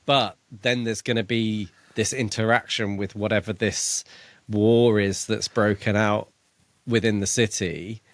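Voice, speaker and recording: Low-voiced man, measured podcast talk, dry sound. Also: fairly even intonation, no room sound. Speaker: podcast co-host. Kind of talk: casual book discussion.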